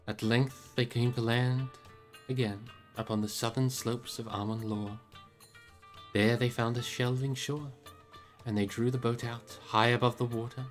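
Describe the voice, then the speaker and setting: Storybook narrator reading over music. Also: medium pitch. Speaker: streamer reading a novel aloud.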